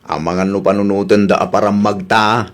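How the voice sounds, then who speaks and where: A man's voice: fairly low pitch, level tone, normal speed, lightly acted. A man playing a sceptical, grumbling husband in an Ilocano comedy radio drama, speaking with an Ilocano Filipino accent. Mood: grumbling, reluctant.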